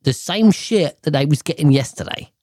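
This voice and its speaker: A man's voice: a little high, expressive, moderate pace, darkish tone, no echo, studio quality. A man ranting casually to camera, profane and exasperated, rambling with sighs and restarts.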